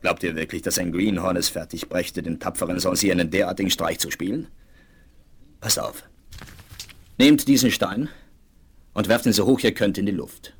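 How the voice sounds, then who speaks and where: An actor in a German radio play playing a confident, calm young Western hero, measured and commanding. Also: male voice, low-pitched, slightly rough. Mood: confident, challenging. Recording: 1970s vinyl radio play.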